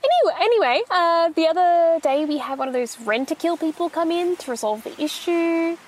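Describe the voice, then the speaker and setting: Actress as anxious young female shop employee, high voice, polite and rambling, hesitant naturalistic audio-fiction delivery. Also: expressive, fairly quick. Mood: nervous, chatty. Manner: hesitant, rambling, nervous stammering and self-correcting.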